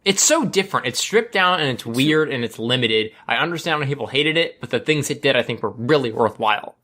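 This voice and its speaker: A man with a bright voice and lively, swooping intonation chats informally on a gaming podcast. He gives his opinions in a wry, considered way. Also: medium pitch, fairly quick. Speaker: podcast co-host. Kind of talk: casual chat about video games.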